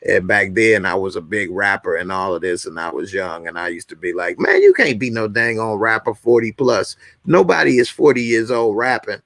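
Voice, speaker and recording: Joking man in his forties, low voice. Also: sing-song, moderate pace, vocal fry, few pauses, fairly bright tone, no room sound. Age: 40s. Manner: joking, repeats lines for emphasis. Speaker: YouTube show host. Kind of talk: casual chat to viewers.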